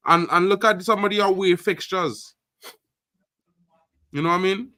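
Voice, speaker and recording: A man from Trinidad hosting a casual live-stream chat, animated and loud, full of fillers like "you know what I mean". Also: high-pitched, normal speed, dry recording, clean studio sound. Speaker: host.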